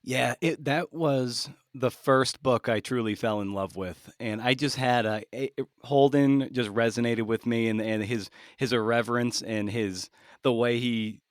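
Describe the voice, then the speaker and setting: A man hosting a casual interview, speaking haltingly and repeating words as he forms his thoughts. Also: mid-range voice, no room sound, clean studio sound. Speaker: podcast host, interviewer.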